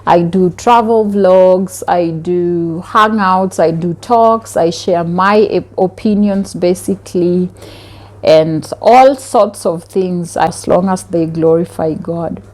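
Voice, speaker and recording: Young Kenyan woman, relaxed unhurried pace, chatty home vlog, laughs easily. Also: fairly low pitch. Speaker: vlogger. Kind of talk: casual chat to camera. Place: home kitchen area.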